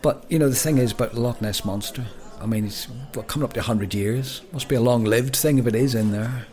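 Chatty man, medium pitch. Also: fairly quick, darkish tone. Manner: chatty and jokey, with frequent stammered restarts. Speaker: podcast co-host. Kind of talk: casual chat.

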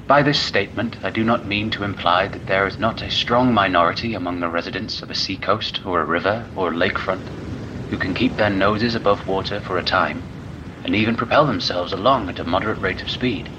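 A man playing a formal, old-fashioned lecturer in an audio drama monologue, speaking in a measured, rhetorical way with loud rumbling behind. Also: dull audio, background noise, lightly acted. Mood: measured, didactic. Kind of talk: slow dramatic monologue reading.

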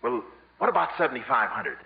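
A man acting a fast-talking small-time con man with joking patter in a 1950s radio comedy, on a muffled vintage broadcast. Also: medium pitch, very animated, creaky. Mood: glib, cheeky.

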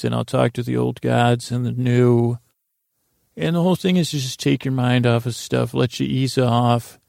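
A man hosting a podcast, telling a meandering, halting bedtime story in a goofy, self-deprecating way. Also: medium pitch, vocal fry, a little mellow, no echo, clean studio sound. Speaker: podcast host. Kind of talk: rambling bedtime story monologue.

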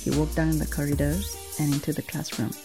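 Mellow-voiced woman narrating a story. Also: deep voice, flat delivery, fairly quick. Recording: faint music underneath.

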